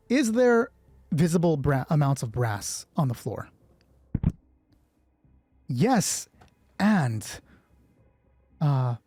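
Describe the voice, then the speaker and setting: A man's voice: fairly high pitch, a little mellow, no room sound. A man hosting a solo tabletop roleplay, improvising narration and thinking aloud as he corrects himself. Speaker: solo roleplay host and game master.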